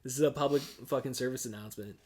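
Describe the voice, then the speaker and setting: A man in his forties chatting casually on a podcast. He is laid-back and rambling, laughs often, and leans on "dude" and swearing as he tells stories. His voice sits at an ordinary pitch for a man. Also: fast. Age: 40s. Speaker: podcast host. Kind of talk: casual chat.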